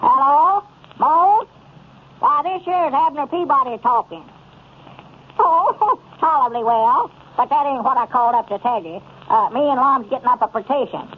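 An actor playing a lovable, folksy old rural storekeeper with a Southern US accent, hesitant and rambling, in old radio comedy style. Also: male voice, very high voice, expressive, normal speed. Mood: folksy, chatty. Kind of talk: 1930s radio comedy acting. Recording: noisy, tinny old radio recording.

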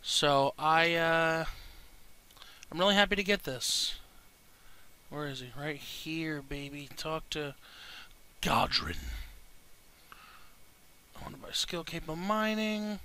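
A young man with a congested, stuffy voice, chatting casually and excitably as he comments on his own gameplay. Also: a little high, slow pace, pauses often, a little hiss or hum. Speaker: gaming video host.

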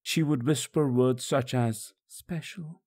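A man voicing a sorrowful, tender condemned holy man, telling his suffering in a grave, reflective storybook monologue with a gravelly, husky voice. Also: mid-range voice, slow, a little mellow, pitched above the performer's own voice. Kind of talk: solemn first-person dramatic monologue.

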